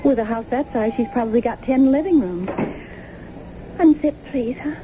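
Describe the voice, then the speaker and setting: An actress playing a cheerful, polite and curious young wife in a naturalistic radio drama, chatty and easygoing. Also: female voice, on the high side, animated, moderate pace, over music, lightly acted. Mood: sleepy, chatty. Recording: muffled, noisy old radio broadcast.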